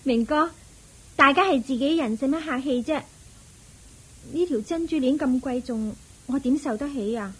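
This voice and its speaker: A gentle young noble wife from a radio drama, played by an actress, with a Hong Kong Cantonese accent. Her voice sits high. She speaks politely and modestly. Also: moderate pace, long pauses, natural voice, rough recording.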